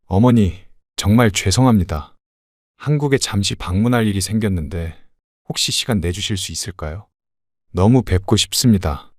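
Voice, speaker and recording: A man's voice: lowish voice, normal speed, darkish tone, no echo, studio quality, close to an everyday voice. A man voicing a remorseful adult son who pleads and apologizes to his mother. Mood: apologetic.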